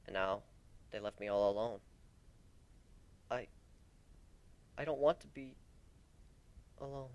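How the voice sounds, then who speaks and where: A man's voice: fairly low pitch, takes it slowly, pauses often, dull audio. A man voicing a wounded, vengeful but fragile male griffin warrior in a theatrical dramatic story reading. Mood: forlorn. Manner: intense, theatrical, swinging into brooding.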